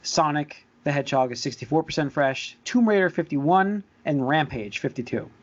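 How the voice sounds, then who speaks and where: Male podcast co-host, fairly high voice, informal list-reading chat, muffled lo-fi audio. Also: expressive, moderate pace. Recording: dull, lo-fi voice recording.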